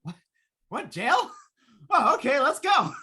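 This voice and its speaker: A woman's voice: high voice, sing-song, bright, forceful tone, heavily acted. A woman playing a jittery, wheedling, wisecracking repairman in a 1950s radio play, talking in slangy patter. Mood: anxious, relieved. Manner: slangy fast-talking gangster-style patter. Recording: noisy live radio play stream.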